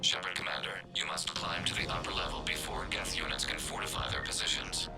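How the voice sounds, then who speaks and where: A man playing a calm, literal robot soldier in a video game, speaking formally and precisely through a synthetic filter. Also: rumbling low, fairly quick. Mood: flat, businesslike. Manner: clipped, formal, emotionless, precise. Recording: loud game music underneath.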